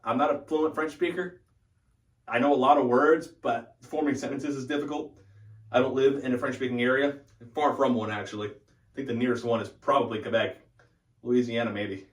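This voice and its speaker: Young guy vlogger, casual channel update, thinks out loud, echoey and kinda far from mic. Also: mid-range voice, steady tone, normal speed. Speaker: host of a hockey fan channel. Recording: echoey room, distant from the mic.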